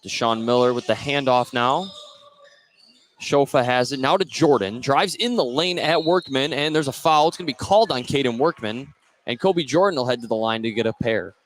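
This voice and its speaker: A man calling live play-by-play of a high school basketball game for radio. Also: normal speed. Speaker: play-by-play commentator. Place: courtside at an indoor basketball game.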